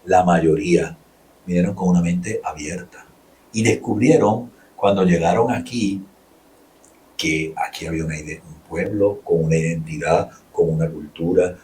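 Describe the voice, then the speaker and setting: Puerto Rican man, low voice a little off-mic, measured friar giving a calm interview. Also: distant mic, poor audio. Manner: measured, explains with many pauses and restarts. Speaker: Capuchin friar and guest. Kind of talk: explanatory interview answers.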